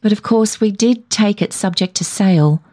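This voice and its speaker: A woman's voice: medium pitch, normal speed, warm tone, pitched above the performer's own voice, natural voice. A woman reader voicing an anxious, wry wife in a story, plaintive and exclaiming.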